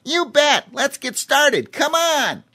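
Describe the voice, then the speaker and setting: A squeaky bragging chimp. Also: male voice, sing-song, takes it slowly, sharp tone, exaggerated voice. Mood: eager. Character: boastful fast-talking young male chimpanzee.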